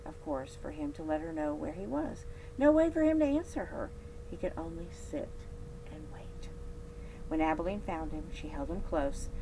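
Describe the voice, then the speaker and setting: A woman with a low voice reads a children's story aloud for a library. Her phrasing is expressive, with emphatic stresses on key words. A clear, steady hum and noise sit behind her. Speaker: library read-aloud host. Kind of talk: scripted storybook narration.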